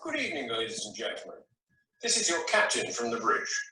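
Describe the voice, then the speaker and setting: A man playing a formal cruise ship captain making a measured announcement in an amateur radio drama, on a video call. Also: medium pitch, sing-song, sharp tone. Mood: formal, grave. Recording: roomy, distant, faintly noisy video call.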